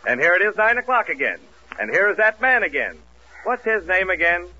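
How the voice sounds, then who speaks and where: High-pitched American radio announcer, muffled vintage recording. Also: male voice, sing-song, a little fast, sharp tone. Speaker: radio announcer and comic sidekick. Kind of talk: 1940s scripted radio announcing and banter.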